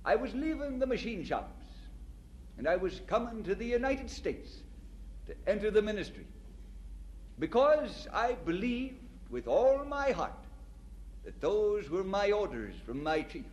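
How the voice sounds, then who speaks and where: An actor playing an earnest, devout young Scottish immigrant in an audio drama, polite and expressive. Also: male voice, high voice, unhurried, muffled recording, a little hiss or hum. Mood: fervent. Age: young adult. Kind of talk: audio drama acting with first-person narration.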